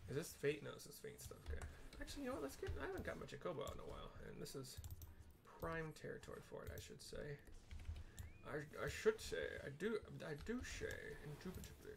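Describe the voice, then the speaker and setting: A man's voice: fairly high pitch, wildly swooping pitch, quick pace, creaky. A man casually narrating a game and describing what happens on screen, in a playful way.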